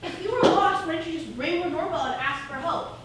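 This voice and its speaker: A woman's voice: very high voice, brisk. A woman playing a pointed, indignant defence lawyer in a theatrical fairy-tale courtroom comedy. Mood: accusatory. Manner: accusatory, pointed. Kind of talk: theatrical stage comedy acting. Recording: distant, very echoey, noisy stage recording.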